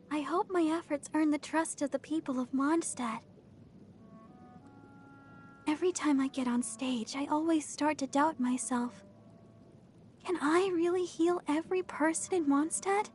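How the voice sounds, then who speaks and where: A woman's voice: sits high, a little mellow. A woman playing a sweet, earnest, perky teenage girl idol healer in an anime video game. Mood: earnest, hopeful, then self-doubting, hopeful.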